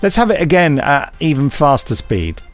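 A man's voice: on the high side, big pitch swings, moderate pace, over music. A man co-hosting a podcast, reading announcements and bantering in a tongue-in-cheek, self-mocking way. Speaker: podcast co-host. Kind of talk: jokey scripted announcements and banter.

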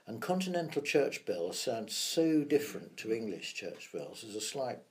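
An older man, a sound effects veteran, telling measured, reflective stories from his working years in an interview. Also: medium pitch, very animated. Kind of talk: anecdotal interview storytelling.

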